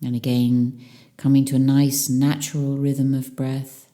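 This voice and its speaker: A woman leading a guided meditation as a teacher, speaking calmly and soothingly with long pauses between phrases. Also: a bass voice.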